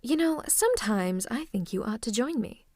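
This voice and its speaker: A woman's voice: fairly high pitch, expressive, normal speed, darkish tone, no room sound, studio quality. A woman playing a playful female supervillain, affectionate and teasing with theatrical menace, in an ASMR roleplay. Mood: sultry, teasing. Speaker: voice actor. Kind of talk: ASMR roleplay acting, one-sided conversation.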